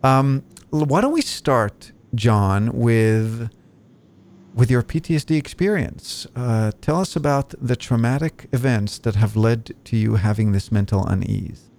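Gravelly Israeli man hosting a podcast. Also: medium pitch, a little slow, a little mellow. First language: Hebrew. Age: 40s. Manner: chatty, joking, many fillers. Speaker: podcast host. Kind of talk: casual podcast interview.

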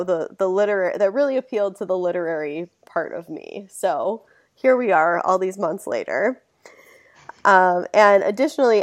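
A woman hosting an interview podcast, slightly hoarse from a cold, friendly and upbeat with fillers. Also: on the low side. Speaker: podcast host. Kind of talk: casual interview.

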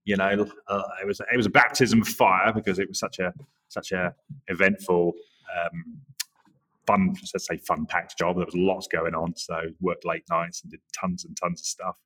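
An Englishman in his forties from the Norwich area, chatting as an interview guest in a relaxed, rambling way with many restarts. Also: deep voice, normal speed, slightly rough, low-quality recording. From England, Norwich area. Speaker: guest, creative director. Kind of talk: casual interview chat.

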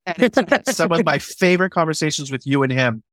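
A man's voice: on the high side, animated, fairly quick, a bit bright, no room sound, clean studio sound. A man speaking in an enthusiastic, conversational way, swearing casually and often restarting his sentences. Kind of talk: conversational podcast discussion.

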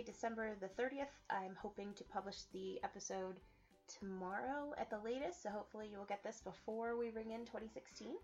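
A woman's voice: fairly high pitch, creaky. A woman hosting a podcast and chatting casually, rambling a little with frequent "um"s while she fights a cold. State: has a cold. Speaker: podcast host. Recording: slightly dull lo-fi recording.

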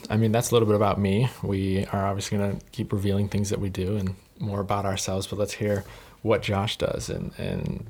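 A twenty-five-year-old man co-hosts a relaxed podcast. His voice is low and very even, with hardly any rise or fall, as he asks his partner questions and fills in his own story. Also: brisk, hardly any pauses, slightly rough. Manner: interviewing, prompting with questions. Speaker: podcast co-host. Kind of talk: casual conversational podcast.

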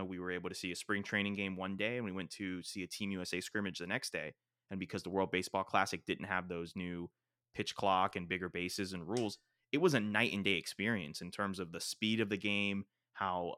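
Podcast co-host, man, bright forceful tone, lowish, dry close sound. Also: clean tone, no room sound. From South Florida. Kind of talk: casual chat.

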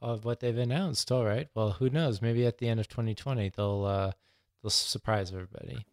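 A man's voice: mid-range voice, fairly quick, a little mellow, dry recording, clean studio sound. A man chatting casually as a podcast co-host. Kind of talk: casual chat.

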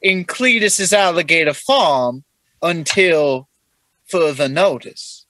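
A man voicing a lewd, old-fashioned Southern gentleman with a florid, courtly drawl in an improvised comedy role-play. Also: fairly high pitch, slow, no echo. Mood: grandiose.

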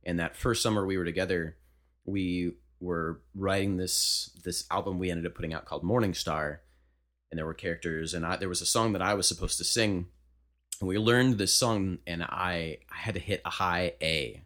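A baritone man in his twenties, telling a measured anecdote in a casual band podcast. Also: fairly even intonation, a bit bright. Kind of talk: casual podcast chat, storytelling.

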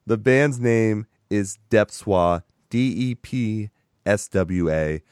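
A man hosting a casual podcast chat with a hoarse, raspy voice that is strained from sickness; he talks in a chatty, rambling way and laughs often. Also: lowish voice, expressive, slow, a little mellow, no room sound, studio quality. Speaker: podcast host.

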